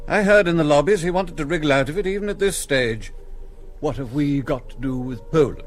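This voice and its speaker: An actor playing a cynical schoolmaster and politician, dry and cutting, with an educated English accent in radio drama. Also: male voice, fairly high pitch, expressive, brisk, faint music behind, natural voice.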